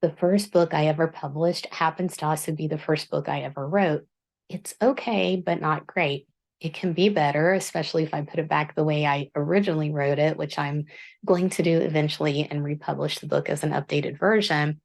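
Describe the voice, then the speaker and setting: A woman author hosting her book channel, speaking in a low voice in an earnest, self-deprecating, measured monologue. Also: fairly even intonation, gravelly, darkish tone. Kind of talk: reflective scripted monologue.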